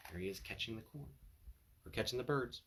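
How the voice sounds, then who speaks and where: A man's voice: mid-range voice, brisk, noisy background. A man reading a fairy tale aloud as a storyteller, with casual asides to his listeners. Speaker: storyteller, attorney.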